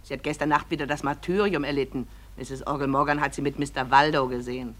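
An actress playing a sour, prim older wife in a radio play, nagging and scornful. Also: female voice, a bass voice, fairly even intonation, fast.

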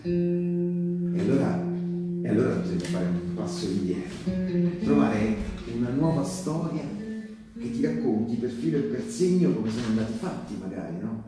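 An actor with a central Italian accent playing an earnest partisan veteran in a narrative theatre monologue, telling wartime memories in a colloquial, repetitive way that seeks agreement. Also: male voice, medium pitch, very animated, moderate pace, lightly acted. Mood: searching, intimate. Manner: colloquial, repetitive, seeking agreement with tag questions. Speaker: stage actor and storyteller. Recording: echoey distant stage recording under guitar music. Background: live plucked guitar music.

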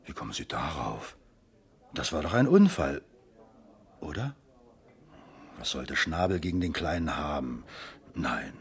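A man's voice: rumbling low, sing-song, measured pace, creaky, long pauses, breathy edge, heavily acted. An actor playing an embarrassed, evasive small businessman questioned as a witness in a German radio crime drama, explaining himself defensively. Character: male drinking companion, small factory owner, embarrassed, evasive witness.

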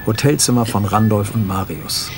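A man's voice: fairly low pitch, normal speed, over music, lightly acted. A man narrating scene directions in a German radio drama.

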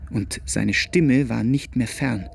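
A man's voice: medium pitch, takes it slowly, gravelly, slight background noise. A man narrating a literary radio play, in a dramatic delivery.

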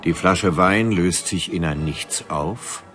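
A male narrator with an exceptionally deep voice tells a scripted radio drama. His delivery is that of a storyteller, with music faintly behind him. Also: takes it slowly, a bit bright.

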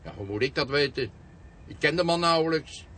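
An actor playing an impatient, indignant neighbour who complains in exasperation in a radio play. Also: male voice, fairly high pitch, lively intonation, fairly quick, a bit bright. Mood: exasperated. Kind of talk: Dutch radio play acting. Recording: faintly noisy radio play recording.

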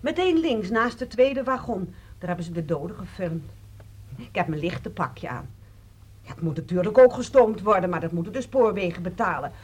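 A woman's voice: on the low side, very animated, moderate pace, a little mellow, a little hiss or hum. An actress playing a vain, gossipy woman gushing breathlessly down the telephone in a radio play. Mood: agitated, gushing. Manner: breathless gossipy chatter, self-absorbed. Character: vain, gushing woman excited at having witnessed a disaster. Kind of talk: radio play acting, one side of a phone call.

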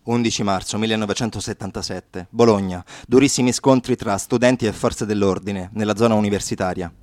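A man's voice: on the low side, a little fast, a little mellow. A man reading scripted historical narration as a radio narrator.

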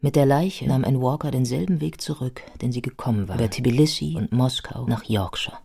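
A man playing a reflective biographer who narrates a radio play in sober literary prose, with a husky voice. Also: mid-range voice, brisk, darkish tone. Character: reflective biographer recounting a life with sober sympathy. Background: music.

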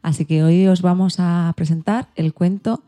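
Spain accent lady podcast host, low mellow voice, casual intro chat. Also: steady tone, moderate pace.

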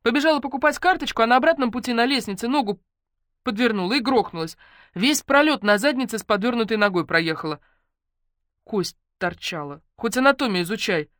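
A woman voicing an excitable, anxious and demanding young woman in an audiobook, with blunt, exclamatory delivery. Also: fairly high pitch, a little fast, no room sound, studio quality, pitched above the performer's own voice. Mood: agitated, complaining. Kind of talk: audiobook character dialogue.

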